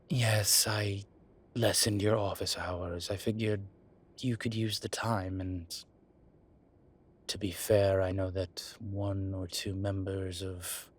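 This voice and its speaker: A man playing an exasperated, evasive demon professor in an audio roleplay, speaking haltingly and defensively with muttered asides. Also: deep voice, breathy edge, full character voice. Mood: grudging, defensive. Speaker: voice actor. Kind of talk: audio roleplay acting, one-sided dialogue.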